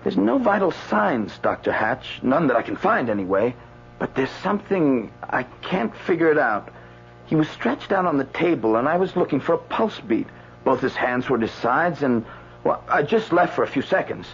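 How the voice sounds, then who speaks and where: Highish-voiced, rattled young-sounding doctor. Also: male voice, big pitch swings, normal speed, gravelly. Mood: nervous, deferential. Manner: hesitant, stammering, repeating himself. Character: anxious, rattled doctor at a morgue. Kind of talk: radio drama acting. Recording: dull old radio broadcast, faint hum.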